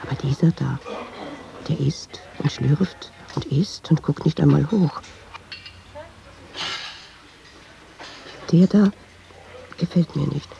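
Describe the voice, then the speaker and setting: A woman's voice: low voice, measured pace, gravelly, warm tone, dull audio, a little hiss or hum, full character voice. An actress playing a watchful, nervous woman who muses hesitantly in an intimate radio drama monologue, dropping into half-whispers. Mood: musing, watchful. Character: watchful, nervous woman narrating her inner thoughts.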